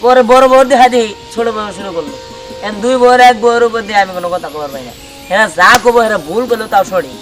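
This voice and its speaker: A man's voice: high-pitched, quick pace, music in the background, close to an everyday voice. A man with a Bangladeshi accent playing a henpecked, exasperated master in a comedy drama, plaintive and grumbling. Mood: complaining. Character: henpecked, exasperated master with two domineering wives. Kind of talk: Bengali comedy drama acting.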